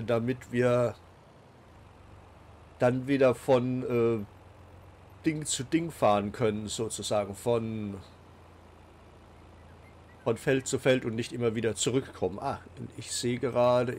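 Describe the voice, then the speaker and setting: A man's voice: medium pitch, a little mellow, a little hiss or hum. A man with a cold, hosting a casual let's play and talking along with many fillers and restarts. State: has a cold. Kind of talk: casual game commentary.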